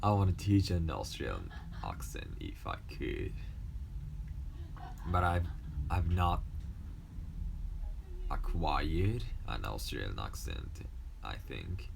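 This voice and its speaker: A young man whose first language is Japanese, speaking English with a mostly American accent and a slight Japanese trace, reading textbook lessons aloud with halting restarts. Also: very deep voice, very animated, creaky, background noise. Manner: halting, with restarts and self-corrections. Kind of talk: casual talk, then reading school textbook passages aloud.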